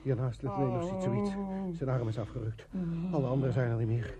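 Man acting an anxious soldier, fast talk, medium pitch, old radio drama, clear clamour of voices behind. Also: expressive, over a noisy crowd, lightly acted. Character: hurried soldier waiting under attack. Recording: old radio drama, loud background din.

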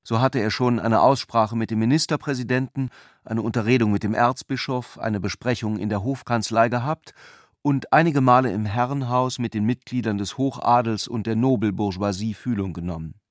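A man's voice: mid-range voice, normal speed, no room sound, clean studio sound. A man delivering scripted narration of a literary work as a radio play narrator.

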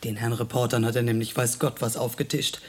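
An actress playing a brisk, strict hospital nurse in a radio play. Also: female voice, rumbling low, little pitch movement, breathy edge. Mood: stern. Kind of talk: German radio play acting. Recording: radio play with faint ambient noise.

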